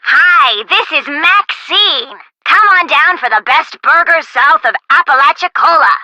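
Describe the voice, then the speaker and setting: A perky diner woman in a game, high-pitched and very bright. She delivers a promotional greeting in a dry, close sound. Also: lively intonation, unhurried, no echo, exaggerated voice, poor audio. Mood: cheery. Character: perky burger diner woman touting her food.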